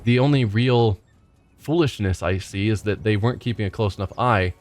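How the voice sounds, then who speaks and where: Low-voiced man thinking aloud over gameplay. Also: normal speed, darkish tone, faint music behind. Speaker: let's play host. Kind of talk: casual gameplay commentary.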